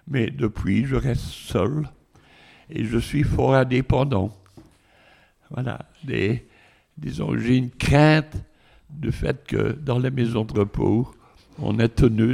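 Breathless older man with a gravelly voice. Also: mid-range voice, darkish tone. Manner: halting, hesitant, short of breath. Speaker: interviewee, elderly resident. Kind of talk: casual interview answers.

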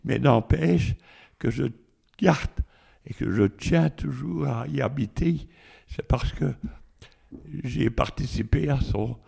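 An older man, out of breath, answering interview questions in a halting, hesitant way. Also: medium pitch, vocal fry, dark tone, husky. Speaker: interviewee, elderly resident.

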